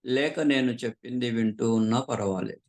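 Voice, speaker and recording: A man leading a guided meditation as a teacher, calmly and unhurriedly, pausing often between short phrases. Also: medium pitch. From southern India.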